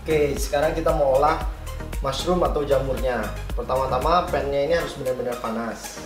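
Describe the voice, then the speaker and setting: A man's voice: mid-range voice, fairly quick. A man in his thirties, a chef, cooking at home and explaining each step, asking questions and answering them himself. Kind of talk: casual cooking demonstration. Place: bright home kitchen, indoors. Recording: roomy kitchen with music behind. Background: background music.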